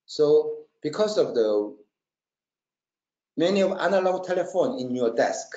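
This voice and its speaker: A man presenting a technical webinar in halting non-native English, checking often that listeners follow. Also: on the high side, expressive, darkish tone. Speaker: webinar presenter, company marketing trainer. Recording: roomy, dull lo-fi webinar audio.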